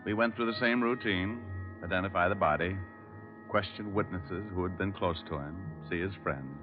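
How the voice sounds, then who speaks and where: An actor playing a weary, hard-boiled private detective, narrating a 1950s radio drama in a terse, dry manner. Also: male voice, low voice, normal speed, over music, lightly acted. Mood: grim, weary. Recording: dull old radio recording.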